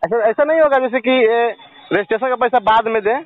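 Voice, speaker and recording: A man's voice: extremely high pitch, fast, no echo. A young man making a casual phone call as a caller, hesitant and full of questions. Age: young adult. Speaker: caller selling an old coin. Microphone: telephone. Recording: tinny, dry phone call recording.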